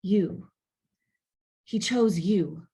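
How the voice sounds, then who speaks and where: A woman playing a bitter, jealous, sharp-tongued wife who is curt and scornful, in a screenplay table read. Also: medium pitch, very slow, gravelly, slightly rough, heavily acted. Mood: wounded.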